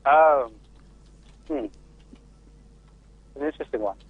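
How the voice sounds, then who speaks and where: A man's voice: medium pitch, slow pace. Man from Zimbabwe on a phone line. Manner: hesitant, many restarts. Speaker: panelist, father. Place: remote call from the UK.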